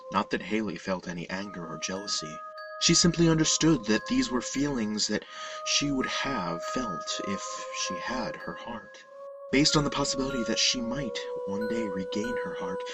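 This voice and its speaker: A man with a lowish voice narrates a creepypasta in measured, dramatic fashion. He sounds slightly distant from the mic, and music plays clearly behind him. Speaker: story narrator. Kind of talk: scripted horror story narration.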